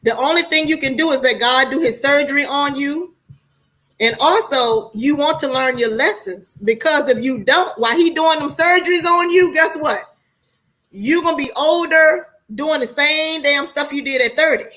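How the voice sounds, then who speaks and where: High, bright-voiced American woman in her forties. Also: moderate pace, low-quality recording. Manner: candid, colloquial, preachy, swears freely. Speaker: online show host talking to viewers. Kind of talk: casual livestream monologue.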